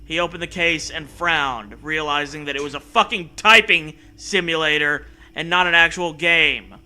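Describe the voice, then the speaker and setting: Guy w a high really sharp voice reading a story out loud to make fun of it, sarcastic, swears, kinda theatrical. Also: normal speed. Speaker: comedy show host. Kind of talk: mocking read-aloud of a story with joking asides.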